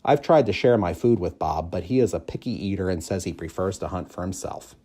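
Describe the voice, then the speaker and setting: Man, lowish pitch, dark mellow tone, expressive storybook narration, measured phrasing. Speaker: audiobook reader, school staff member.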